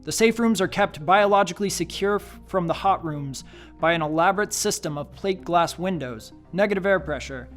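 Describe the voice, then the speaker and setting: Man playing a thoughtful higher-voiced scientist. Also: moderate pace, a bit bright, soft background music. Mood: explanatory. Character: idealistic, thoughtful, cautious male scientist. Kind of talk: radio play acting.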